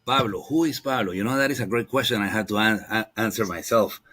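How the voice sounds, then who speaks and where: A man's voice: on the low side, quick pace, a bit bright. A man in his forties from Guatemala, a native Spanish speaker with a Guatemalan accent, chatting casually as an interview guest and rephrasing as he goes. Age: 40s. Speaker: podcast guest, marketing entrepreneur.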